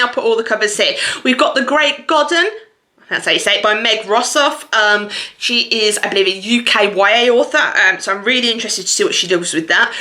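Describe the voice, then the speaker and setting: A woman's voice: on the high side, sharp tone. A woman hosting a chatty book vlog, talking straight to her viewers in an enthusiastic, gushing way. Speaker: book reviewer and video host.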